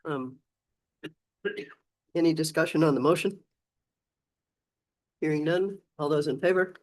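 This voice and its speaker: Hoarse woman chairing a meeting. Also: low voice. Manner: brisk procedural phrasing. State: hoarse, losing her voice. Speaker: commission chair. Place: county meeting room.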